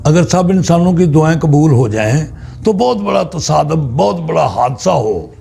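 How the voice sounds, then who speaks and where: A man giving an informal, unscripted religious talk in a halting, deliberate way, often pausing mid-sentence. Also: a little high, animated, vocal fry, dark tone. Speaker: Islamic spiritual speaker.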